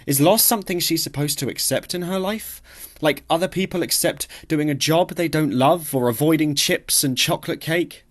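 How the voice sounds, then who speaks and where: A man's voice: fairly high pitch, moderate pace. A man narrating a literary novel as an audiobook, reading expressively and acting out the dialogue.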